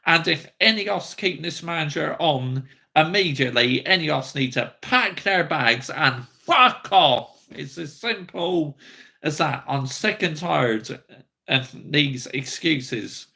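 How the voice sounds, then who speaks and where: Bright, gravelly man ranting. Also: fairly high pitch, lively intonation, takes it slowly. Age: 30s. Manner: angry, blunt ranting, sweary. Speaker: football fan channel host. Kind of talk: livestream fan rant.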